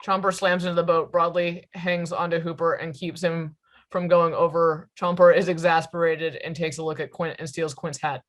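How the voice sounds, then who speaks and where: A woman's voice: fairly low pitch, little pitch movement, moderate pace. A woman reading stage directions as scripted narration at a table read.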